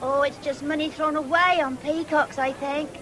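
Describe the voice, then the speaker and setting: A woman's voice: high voice, steady tone, moderate pace, natural voice. An actress playing a thrifty, forthright young country woman with a rural English accent in a 1970s radio drama, coy and scolding. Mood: gently scolding. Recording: noisy old radio broadcast, fairground din.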